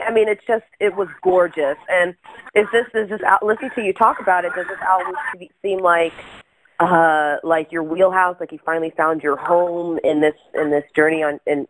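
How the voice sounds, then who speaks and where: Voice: medium pitch, fairly even intonation, moderate pace, fairly bright tone, a little hiss or hum, poor audio. An interviewer hosting the show, speaking in a casual, effusive way and often repeating words as she gathers her thoughts. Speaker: interviewer, host.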